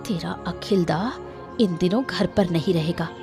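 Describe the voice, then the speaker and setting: A woman's voice: lowish voice, unhurried, music in the background. A woman playing a ruthless, commanding married woman scheming in a cartoon moral story. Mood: scheming, urgent. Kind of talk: Hindi cartoon story dialogue.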